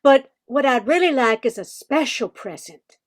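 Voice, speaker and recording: A woman's voice: high-pitched, animated, normal speed. A woman playing a wistful, eager bayou daughter in a radio play. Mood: wistful, dreamy.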